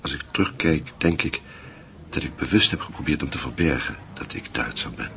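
A man playing a middle-aged, passionate and frustrated lover in a radio drama, speaking in an earnest, halting, intimate way. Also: rumbling low, gravelly, bright, forceful tone, heavily acted. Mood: reflective. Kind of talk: naturalistic radio drama acting.

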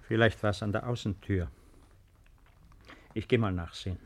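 A composed husband in an old radio drama. His voice is on the low side, measured and dry, brushing fears aside with matter-of-fact reassurance. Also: gravelly, slight background noise. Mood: dry, calm. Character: calm, dismissive husband reassuring a frightened woman. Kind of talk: 1950s German radio play acting. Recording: 1950s radio drama recording.

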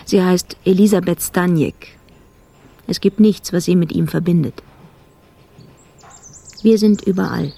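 An actress playing a sober police investigator who recounts a murder case in clipped, factual phrases, in a radio drama. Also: female voice, on the low side, a little slow, gravelly, pauses often, dark tone, breathy edge, no room sound, heavily acted. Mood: sober, reporting. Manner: clipped, factual, report-like delivery. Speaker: actor in radio play. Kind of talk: scripted radio drama narration.

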